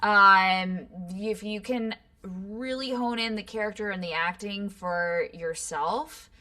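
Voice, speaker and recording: A woman's voice: medium pitch, slow. Chatty woman voice actor answering questions. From Los Angeles. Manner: chatty, explanatory, animated. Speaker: voice actor guest. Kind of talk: online workshop Q&A.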